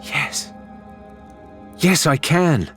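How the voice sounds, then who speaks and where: An earnest, thoughtful pirate woman, as played by a male audiobook reader, explains herself expressively. The delivery swings widely in pitch, in adventure storybook style. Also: animated, drawn-out words, fairly bright tone, soft background music, exaggerated voice. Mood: eager.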